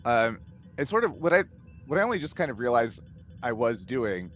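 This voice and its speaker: A man chatting casually on a panel video call, explaining things in a halting, discursive way. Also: medium pitch, big pitch swings, a bit bright, faint music behind. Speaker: cast member and game master. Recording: noisy video call audio.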